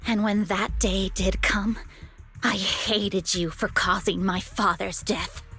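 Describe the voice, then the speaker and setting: A woman's voice: mid-range voice, fairly even intonation, fairly bright tone, slightly rough. An actress playing a bitter, grieving young woman raised in a cult, wounded and resentful, in a dramatic video game scene. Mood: bitter, wistful. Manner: slow, deliberate, wounded and resentful. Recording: music behind the voice.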